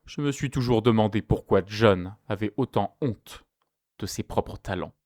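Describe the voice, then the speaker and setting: A man playing a stern, guarded school headmaster in an amateur webtoon dub, speaking in a measured, formal way. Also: fairly low pitch, darkish tone, no room sound, clean studio sound, lightly acted. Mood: measured, probing.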